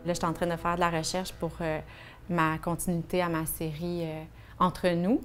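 A woman's voice: lowish voice, little pitch movement, moderate pace, soft background music. A woman in her thirties with a Québécois accent, a photographer, chatting casually about her work in a studio interview. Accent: Québécois French. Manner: chatty, many fillers, gestures while talking. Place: artist studio.

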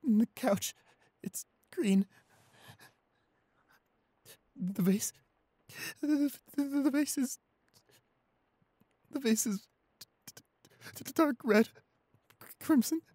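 A man playing a shy, anxious, apologetic young boyfriend in an audio roleplay, halting and repeating himself in a breathy, husky voice. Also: very high voice, warm tone, no echo, heavily acted. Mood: shaky, halting. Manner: halting, stammering, repeating pleas. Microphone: close studio microphone, dry.

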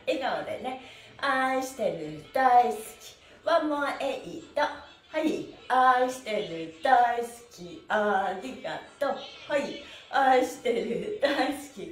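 A middle-aged woman teaching an exercise lesson in a cheery, encouraging, sing-song manner. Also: sits high. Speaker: stretching instructor. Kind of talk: online exercise lesson, counting along with chanted phrases. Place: small indoor room with bare wall. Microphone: camera mic from a few meters. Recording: roomy echo, distant microphone, music behind.

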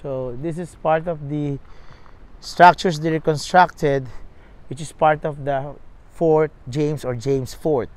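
A man's voice: a little high, steady tone, takes it slowly. Man on an outdoor walking-tour vlog. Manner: plain explanatory, reads signs aloud, self-corrects. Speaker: travel vlogger. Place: outdoors at a riverside historic site. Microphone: lapel mic, selfie camera at arm's length.

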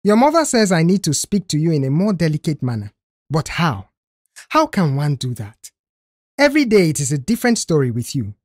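A woman's voice: sits low, big pitch swings, fairly quick, darkish tone, no echo, clean studio sound. Woman playing a stern, exasperated garage boss uncle. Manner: scolding, sarcastic questioning.

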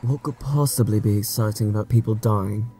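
A man's voice: lowish voice, a little fast, warm tone, faint music behind, lightly acted. A man voicing an irritable, spiteful, sarcastic man in a webcomic dub, speaking curtly. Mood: scornful. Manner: curt, sardonic. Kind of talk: dubbed webcomic voice acting.

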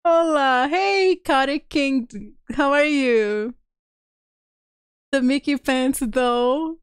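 A woman's voice: high voice, very slow, no room sound, clean studio sound. A woman livestreamer chatting casually and reacting in a giggly way while playing a game. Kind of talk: casual gameplay stream chat.

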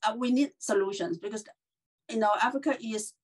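A middle-aged woman from China, a podcast guest, talking in an animated way with many fillers and restarts over a video call. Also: a little high, brisk, husky. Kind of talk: casual interview chat.

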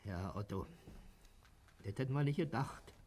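A man's voice: fairly low pitch, animated, normal speed, a little mellow, slight background noise, natural voice. An actor playing a gregarious, middle-aged insurance salesman who gripes in colloquial Berlin dialect, in a German radio play. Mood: subdued, musing. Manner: colloquial, griping.

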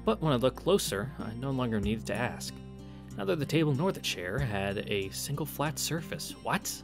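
A man's voice: medium pitch, big pitch swings, moderate pace. A young man reading a visual novel aloud and adding casual, wry asides. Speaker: let's-play narrator. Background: game music.

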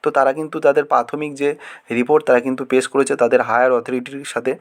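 A man, speaking as a channel host giving students an informal update, in a halting way with repeated phrases for emphasis. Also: medium pitch, level tone. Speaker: exam-prep YouTube channel host.

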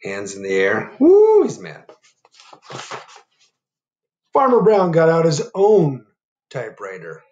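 A middle-aged man reads a picture book aloud from his living room over a webcam, pausing to quiz young listeners. His delivery is unhurried, with lively swings in pitch. The room gives his voice a noticeable echo. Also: dull audio. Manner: animated, asks the young audience questions. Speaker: teacher reading aloud. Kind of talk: children's storytime read-aloud. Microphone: webcam at desk.

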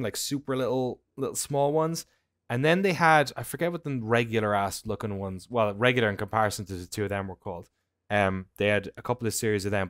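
An Irish man in his thirties, a podcast co-host telling anecdotes casually in an animated, informal way. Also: on the low side, clear, resonant tone, dry recording, studio quality. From Ireland. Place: indoor room at home on a video call.

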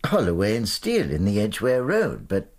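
An actor playing a fussy, timid old bachelor collector in a radio drama, who stammers and sounds flustered. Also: male voice, lowish voice, huge pitch swings, moderate pace, heavily acted. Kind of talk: 1990s radio drama acting.

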